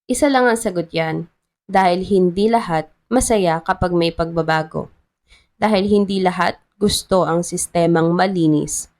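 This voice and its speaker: A woman with a Filipino accent voicing a strict, principled female town treasurer in a story, calm, formal and resolute. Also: lowish voice, a little slow, natural voice.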